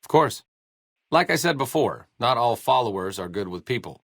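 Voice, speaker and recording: Video game companion: a bookish, sarcastic male doctor, idealist and self-deprecating, very varied pitch, no room sound. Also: animated, normal speed, dry recording. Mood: bored, sardonic. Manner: dry, sarcastic, wordy delivery.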